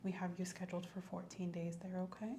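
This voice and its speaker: Woman, ASMR host as gentle clinician, hushed and whispery on a close mic, faint ambient hiss. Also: fairly low pitch, level tone, quick pace. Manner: soft-spoken, gentle, often whispering. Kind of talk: ASMR roleplay.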